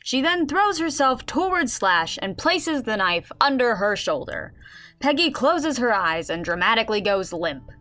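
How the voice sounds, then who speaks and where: A woman's voice: a little high, very animated, unhurried, bright, forceful tone, full character voice. A woman playing a game master who narrates a comic fantasy tabletop game in a scripted radio drama. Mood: storytelling.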